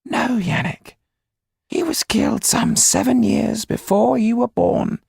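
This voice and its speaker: A man voicing a solemn older Romany mystic in an audiobook, with a whispery, gravelly, husky voice and slow, hushed storytelling. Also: high-pitched, no echo, studio quality, voice pushed up, exaggerated voice. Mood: solemn pride. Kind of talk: audiobook character reading.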